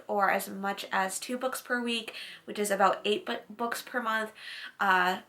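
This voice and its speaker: A woman's voice: on the high side, bright, forceful tone. A woman in her late twenties, a book vlogger chatting casually to camera, with lots of "like" fillers. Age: late 20s.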